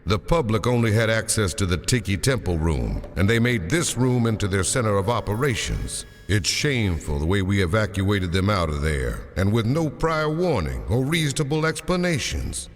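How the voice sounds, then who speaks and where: An actor playing a formal, principled lieutenant colonel who speaks earnestly. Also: male voice, lowish voice, lively intonation, normal speed, few pauses, in a large hall, lightly acted.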